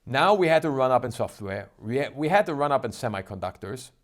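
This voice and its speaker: A man's voice: mid-range voice, big pitch swings, brisk. A man hosting a stock trading show, talking in an upbeat, emphatic, salesy way. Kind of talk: energetic market commentary.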